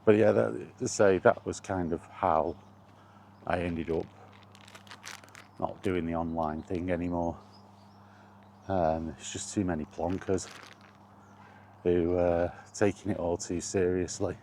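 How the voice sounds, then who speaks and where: A man's voice: low voice, fairly even intonation, slow pace, long pauses, dark tone, a little hiss or hum. A British man chatting casually in a wry, colloquial way, like a solo vlogger rambling through his thoughts. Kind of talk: casual rambling chat about gaming.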